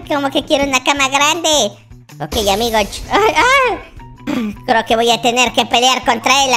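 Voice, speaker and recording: A performer voicing an excitable, naive baby boy with a childlike squeaky voice, giving dramatic, exclamatory roleplay commentary for a children's gaming video. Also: natural voice. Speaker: YouTube gamer playing a character.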